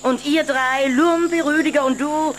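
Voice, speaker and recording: A woman's voice: high voice, steady tone, close to an everyday voice. A woman playing a haughty, scolding vampire aunt in a German children's audio play, with stern, theatrical delivery. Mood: gushing, grand. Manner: theatrical, stern, drawn-out pronouncements. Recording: music behind the voice.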